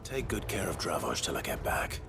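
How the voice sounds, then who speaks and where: Stoic video game warrior, really low voice, grave and short-spoken, big music behind. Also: male voice, sing-song, fairly quick. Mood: stern, resolute. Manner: terse, grave, commanding. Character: stoic, resolute warrior hero, adult man. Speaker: voice actor as game protagonist. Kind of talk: dramatic game acting. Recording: loud music under the voice.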